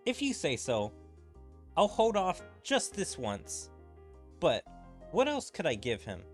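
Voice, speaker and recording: A man's voice: on the high side, wildly swooping pitch, slow, faint music behind, heavily acted. A man playing a boastful, eager young secretary. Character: proud, overconfident young woman secretary.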